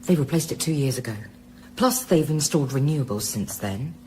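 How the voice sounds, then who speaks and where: A woman's voice: low-pitched, lively intonation, fairly quick, a little mellow, soft background music. An actress playing a resourceful, anxious woman scientist in a naturalistic radio drama, conversational and matter-of-fact. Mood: practical.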